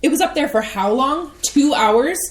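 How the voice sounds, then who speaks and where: Woman doing an anxious young daughter, high voice, echoey room with faint clicks. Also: expressive. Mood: nervous. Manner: anxious, rushed. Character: nervous young adult daughter. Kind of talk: staged play reading.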